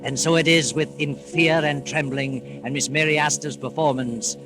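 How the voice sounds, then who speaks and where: A man narrating as host of a 1940s radio thriller, in a measured, ominous, theatrical manner. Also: a little high, fairly even intonation. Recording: old 1940s radio recording. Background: dramatic music.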